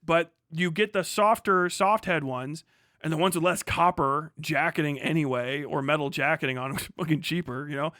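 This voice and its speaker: A man's voice: fairly high pitch, moderate pace, bright, forceful tone, no room sound, studio quality. A man hosting a livestream, giving casual commentary in a profane, rambling way with emphatic explanations. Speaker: livestream host.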